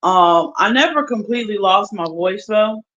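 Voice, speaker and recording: A young adult woman, chatting casually on a livestream, recovering from strep throat, drawing words out with slang and loud bursts. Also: medium pitch, animated, lo-fi sound. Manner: slow, drawn-out talk with loud bursts and slang. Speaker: YouTube streamer.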